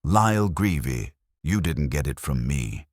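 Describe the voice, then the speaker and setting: A man voicing a breezy, wry newspaperman in a detective audiobook, brisk and wisecracking. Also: extremely low pitch, very animated, no echo, clean studio sound, full character voice.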